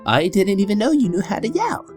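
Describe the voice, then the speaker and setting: A woman voicing an earnest, excitable young male skeleton brother in a comic dub, speaking theatrically and precisely. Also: medium pitch, a little fast, soft background music, lightly acted. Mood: excited, earnest.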